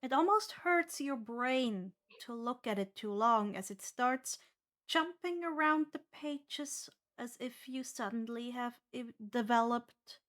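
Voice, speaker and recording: Female game master, high voice, English as a second language, tells the story to her players. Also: expressive, slow, a bit bright. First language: not English. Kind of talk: narrating a tabletop fantasy game.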